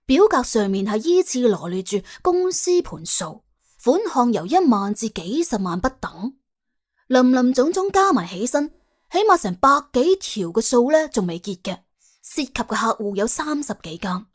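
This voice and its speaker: Lively Cantonese woman storyteller. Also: fairly high pitch, normal speed. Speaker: audiobook narrator. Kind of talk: colloquial comic crime story narration.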